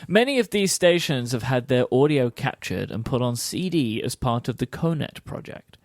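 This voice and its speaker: A man's voice: mid-range voice, animated, normal speed, no echo, clean studio sound. A man co-hosting a podcast, switching between scripted explanation and teasing banter. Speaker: podcast co-host.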